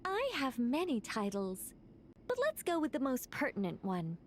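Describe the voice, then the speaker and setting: A woman's voice: high-pitched, huge pitch swings, normal speed, a little hiss or hum. A woman playing an ancient angel in a video game, serene, playful and informal, telling things with teasing composure.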